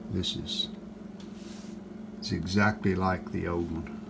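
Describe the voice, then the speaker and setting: Slow, low-voiced man demonstrating repairs. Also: flat delivery, gravelly, noisy background. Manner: unhurried, plainspoken, pausing mid-sentence. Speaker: host of a restoration how-to video. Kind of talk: casual hands-on demonstration narration.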